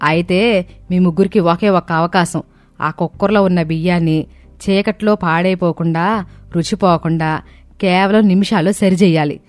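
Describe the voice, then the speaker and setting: Woman reading a family story out loud, dry close voice, soft music under it. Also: lowish voice, fairly even intonation, moderate pace, no room sound. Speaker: storyteller voicing all characters.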